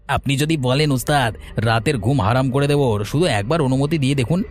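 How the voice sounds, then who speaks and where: A man's voice: medium pitch, fast talker, soft background music, close to an everyday voice. A man voicing an eager, fawning henchman to a village boss in a fairy-tale cartoon.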